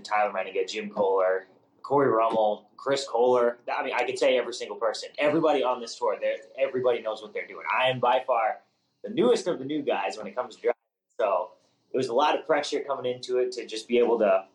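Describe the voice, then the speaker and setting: A man's voice: medium pitch, echoey room, poor audio. A young man from Massachusetts with a New England accent, a rookie driver talking casually in an interview with lots of restarts and fillers. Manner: rambling, many restarts and fillers. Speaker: rookie monster truck driver.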